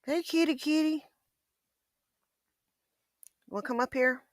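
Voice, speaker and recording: A woman's voice: high-pitched, takes it slowly, no echo, studio quality. A woman with a Southern US accent chatting casually in a rambling, playful way. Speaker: livestreamer. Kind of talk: casual chat.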